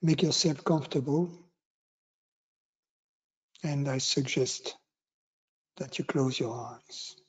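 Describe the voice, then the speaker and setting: Very slow-paced man guiding meditation. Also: mid-range voice, breathy edge. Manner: unhurried, with long pauses between short phrases. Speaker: meditation teacher. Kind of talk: guided meditation instruction.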